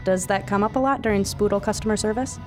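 A woman's voice: medium pitch, fast, faint music behind, natural voice. A woman playing a sardonic young woman. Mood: wry. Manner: dry, sardonic. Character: dry-witted vegetarian young woman, sardonic. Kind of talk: audio drama acting.

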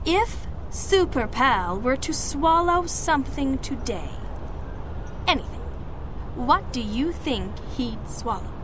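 An earnest female TV reporter gives a mock news interview with solemn deliberation. The voice is high, with clear city noise around it. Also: lively intonation, slow. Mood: solemn, probing. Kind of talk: mock news report in comedic audio drama.

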